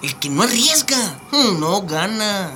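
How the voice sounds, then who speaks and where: An actor playing a reassuring, ambitious migrant in a radio drama, speaking colloquially with a Mexican accent. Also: male voice, high-pitched, sing-song, takes it slowly, sharp tone, a little hiss or hum, full character voice. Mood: determined.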